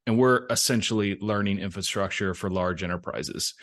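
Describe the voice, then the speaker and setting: A man's voice: fairly low pitch, normal speed, a bit bright. A middle-aged man, a tech executive and interview guest, explaining things conversationally.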